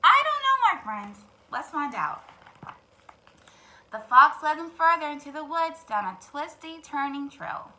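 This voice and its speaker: A woman narrating a children's story in an animated way, addressing young listeners with wondering questions. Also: sits high, measured pace, low-quality recording. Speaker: children's storytime host and reader.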